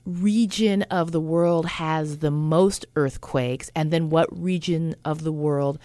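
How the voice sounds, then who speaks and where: A woman's voice: sits low, takes it slowly, warm tone, no room sound. A woman over fifty from San Francisco hosting an interview, chatty and rambling in her questions. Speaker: podcast host. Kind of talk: casual interview chat.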